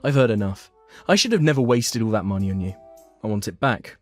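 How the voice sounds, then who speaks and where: A man's voice: fairly low pitch, animated, a little fast, soft background music, close to an everyday voice. A man playing a hardworking, put-upon eldest brother in a manga story, blunt and indignant. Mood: fed up, indignant.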